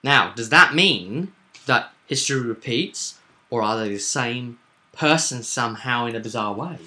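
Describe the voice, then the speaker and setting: Male game master for a recap vidcast; forceful, bright tone, theatrical pauses, conversational. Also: medium pitch, lively intonation. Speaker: host and game master recapping a tabletop session. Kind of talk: casual recap discussion of a tabletop roleplaying game.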